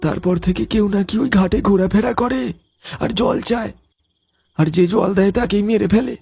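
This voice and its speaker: A man's voice: high-pitched, normal speed, gravelly, darkish tone, breathy edge, heavily acted. A man voicing a frightened, superstitious young village man in a cartoon, stammering when scared. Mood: earnest. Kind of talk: cartoon voice acting.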